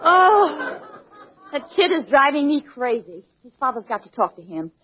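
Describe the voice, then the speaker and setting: Exasperated high-voiced scolding mother. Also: very animated, moderate pace, a crowd in the background. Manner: bossy, exasperated. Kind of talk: 1940s radio comedy acting. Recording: noisy, muffled 1940s radio with studio audience.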